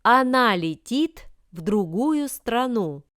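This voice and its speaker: A woman reads short example sentences for a language lesson. Her delivery is slow and deliberate, with every word carefully formed. The voice is crisp and smooth, in a dry recording with no room sound. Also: a little high, lively intonation, a bit bright, no room sound. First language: Russian. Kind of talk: scripted example sentences read for learners.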